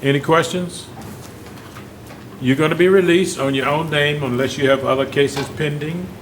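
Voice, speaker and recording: A man presiding as judge at a bond hearing, speaking firmly and repeating his questions until he gets a clear answer. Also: fairly high pitch, fairly even intonation, normal speed, slight background noise, rough recording.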